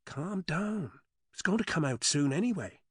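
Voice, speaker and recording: Perky, teasing brother as voiced by a male narrator; lifted pitch, husky edge, dry booth. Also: normal speed, no room sound. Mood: reassuring. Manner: lively, teasing. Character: brother of the heroine, teasing and upbeat.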